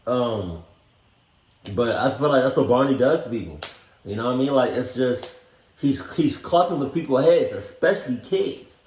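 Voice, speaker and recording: A man's voice: mid-range voice, lively intonation, normal speed, darkish tone, roomy sound, low-quality recording. A man giving casual reaction commentary in a blunt, joking, profane way. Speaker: reaction video host.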